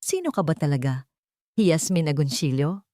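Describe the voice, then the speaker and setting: Brooding, guarded male boss and father, read by a woman, lowish pitch, intense romance audiobook delivery, dry sound. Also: animated, moderate pace, dark tone, dry recording. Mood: intimate, questioning.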